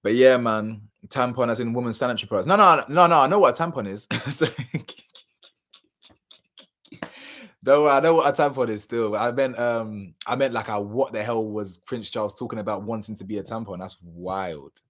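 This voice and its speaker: A young man, chatting casually on a live stream and reading out viewer comments, slangy and animated, his voice a little hoarse. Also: medium pitch, normal speed. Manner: slangy, animated, laughs often, drifts between loud bursts and mumbles. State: slightly hoarse.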